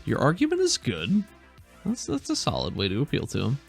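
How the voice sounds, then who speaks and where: A man's voice: mid-range voice, huge pitch swings, brisk, creaky, faint music behind. A man working as game master, narrating a tabletop role-playing game in a casual, improvised way.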